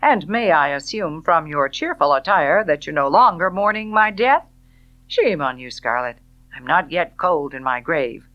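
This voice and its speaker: A woman voicing a cool, suave gentleman in an audiobook, speaking with mocking, sardonic politeness. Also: sits low, expressive, measured pace, dull audio. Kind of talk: audiobook character dialogue.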